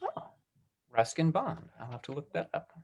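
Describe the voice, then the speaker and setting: A man's voice: fairly high pitch, sing-song, normal speed, a little mellow, slight background noise. A man hosting an online question-and-answer session, upbeat and encouraging. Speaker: talk back host. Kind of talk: video call Q&A. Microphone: video call.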